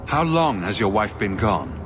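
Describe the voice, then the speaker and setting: Game lead: wry, blunt hero, lowish lively voice, ringing in long reverb. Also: male voice, unhurried, over music. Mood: concerned, probing. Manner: probing, dry. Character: wry, blunt male adventurer hero, sardonic. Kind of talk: dramatic video game dialogue.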